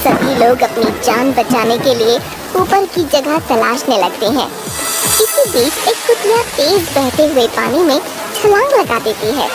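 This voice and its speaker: Female narrator for dramatic story, high voice, very lively intonation, clear background music. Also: unhurried, poor audio.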